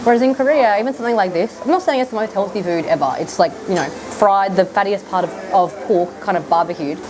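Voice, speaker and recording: A woman's voice: mid-range voice, quick pace, background noise. An Australian woman in her thirties chatting casually to camera in a vlog, rambling with lots of fillers. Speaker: vlogger.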